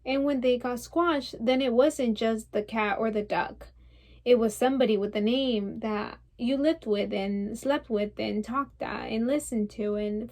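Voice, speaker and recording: A stubborn, wounded young woman played by a woman in an intense stage monologue. Her voice sits fairly high with a strong creak, and she rambles insistently, piling up lists and defending herself. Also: a little mellow.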